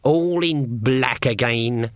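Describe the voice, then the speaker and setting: A man's voice: mid-range voice, slow pace, no room sound, close to an everyday voice. A stern old woman witch from a storybook, acted out by a male narrator. She is proud and stubborn, talking in blunt, morose rustic phrases, recorded close and dry.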